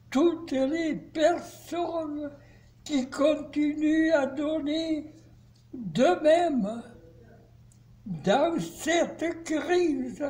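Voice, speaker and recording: Frail centenarian man, very slow. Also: very high voice, dark tone. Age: over 100. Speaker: care home resident. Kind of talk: spoken testimony. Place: nursing home.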